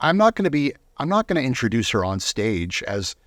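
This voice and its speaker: A man speaking casually in a conversational interview, telling things emphatically with some halting phrasing. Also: medium pitch, sing-song, fairly bright tone, no echo, clean studio sound.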